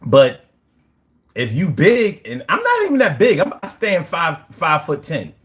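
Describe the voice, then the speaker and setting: A man's voice: a little high, huge pitch swings, measured pace, fairly bright tone. A young man from Philadelphia with a Philadelphia accent, chatting casually on a livestream, brash and profane, boasting in street slang. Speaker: livestream host. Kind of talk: casual livestream chat reading comments.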